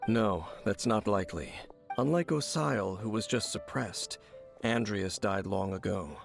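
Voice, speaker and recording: Game role: mysterious warrior, lowish gravelly voice, grave and formal, music underneath. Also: male voice. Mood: grave, analytical. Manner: measured, serious, formal. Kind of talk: anime-style video game voice acting. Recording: music in the background.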